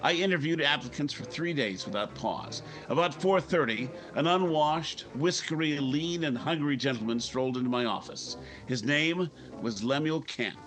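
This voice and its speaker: A man's voice: a little high, lively intonation, normal speed, few pauses, fairly bright tone, low-quality recording. A man playing a brash, driven newspaper editor in his forties, curt and self-assured, in a 1950s radio drama. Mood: hard-boiled narrating. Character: brash, driven forty-year-old male newspaper editor. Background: music.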